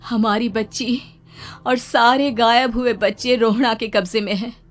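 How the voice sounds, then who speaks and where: A woman playing the earnest ghost of a village wife and mother, explaining things in an animated folk tale. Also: fairly high pitch, a little slow, natural voice. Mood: earnest warning.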